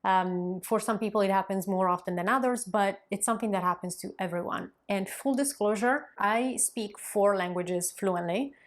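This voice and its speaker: A woman's voice: mid-range voice, moderate pace, few pauses. A woman, a language coach in her thirties, talking straight to her viewers in an encouraging, conversational way. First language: Italian. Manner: warm, encouraging, conversational with occasional fillers. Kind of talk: direct-to-camera coaching talk.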